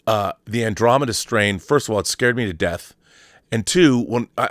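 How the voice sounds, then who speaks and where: A man's voice: medium pitch, fairly bright tone, no echo, clean studio sound. An American man in his fifties talking casually and with opinions as a show host, emphatic and nostalgic, repeating phrases for stress. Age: 50s. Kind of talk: opinionated casual monologue on pop culture.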